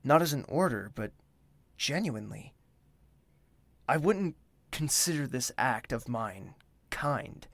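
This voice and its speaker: A reserved young prince in an audio drama, with a mid-pitched voice. He speaks formally and with measured courtesy, considerate of others and inclined to doubt himself. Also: lively intonation, a bit bright, no echo, exaggerated voice. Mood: quietly astonished, reflective. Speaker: character actor and first-person narrator. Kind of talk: scripted audio drama with inner monologue.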